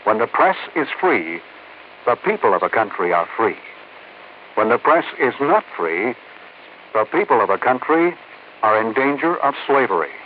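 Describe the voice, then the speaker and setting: Formal male announcer, animated delivery, faint hiss of old radio. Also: mid-range voice, normal speed, long pauses, narrow, tinny audio. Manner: formal and declaiming. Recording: thin hissy old radio broadcast.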